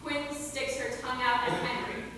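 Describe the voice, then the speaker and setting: A woman's voice: sits high, little pitch movement, moderate pace, sharp tone. A woman narrator reading scripted stage directions at a staged reading. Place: theater, recorded from a distance. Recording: echoey hall, far mic, clear noise.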